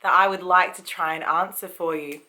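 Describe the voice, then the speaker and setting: A woman in her thirties with an Australian accent, a little croaky from a cold, chatting casually to camera about her pregnancy with many ums and likes. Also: on the low side, fairly even intonation, moderate pace, pushed and bright, rough recording. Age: 30s. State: recovering from a cold, slight cough. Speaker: vlogger. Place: family home.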